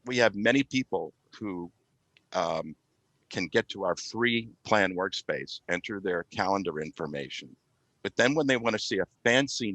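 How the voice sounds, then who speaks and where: A man giving a measured, halting technical explanation as a podcast guest. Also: lowish voice, fairly bright tone, no room sound, rough recording. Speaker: IT specialist and consultant, guest. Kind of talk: explanatory product talk on a podcast.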